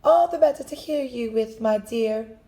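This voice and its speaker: A woman voicing a wicked male wolf in a read-aloud storybook, with a sweetly mocking, high and loud manner. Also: normal speed, lightly acted. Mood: sly.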